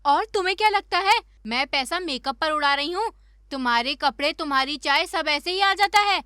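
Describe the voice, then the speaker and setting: A nagging cartoon village wife, acted by a woman, high and forceful in tone. She scolds with sharp sarcasm, recorded close and dry. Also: animated, normal speed, dry recording. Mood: indignant, sarcastic. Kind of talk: Hindi cartoon story dubbing.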